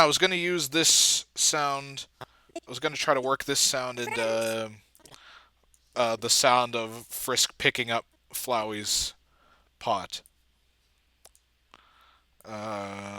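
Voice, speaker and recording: A man giving casual, halting screen-recording commentary as he thinks aloud, muttering to himself in a self-deprecating way. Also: medium pitch, pushed and bright, no echo. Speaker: video editor and comic dubber.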